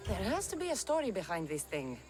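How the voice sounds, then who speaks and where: A tough wry merc game heroine, dry teasing delivery, expressive pitch, bit of music behind. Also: female voice, fairly quick. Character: tough, wry young female mercenary, skeptical and bold. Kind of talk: video game cutscene acting.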